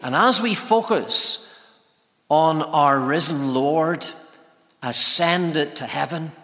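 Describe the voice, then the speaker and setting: A man preaches in a forceful, bright voice pitched a little high for a male speaker. He delivers his sermon in measured, deliberate phrases with pauses between them. The long reverb of a big church hall trails his words. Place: large church interior, preaching to congregation.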